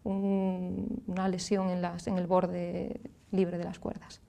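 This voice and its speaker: Low-voiced Galician woman explaining patiently. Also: completely flat delivery, slow, warm tone. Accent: Galician Spanish. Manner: clear, patient, explains with hand gestures. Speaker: speech therapist and singer as guest. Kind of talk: explanatory interview answers. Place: TV studio.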